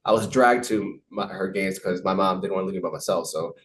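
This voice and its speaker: Deep-voiced young man, quick rambling speech. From United States. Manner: relaxed, repeats words, many fillers. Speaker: professional soccer player. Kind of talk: casual interview answers.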